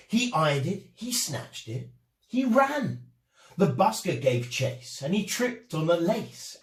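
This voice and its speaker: Animated male storyteller, slow and swooping. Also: a little high. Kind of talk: expressive rhyming children's story narration. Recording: echoey room.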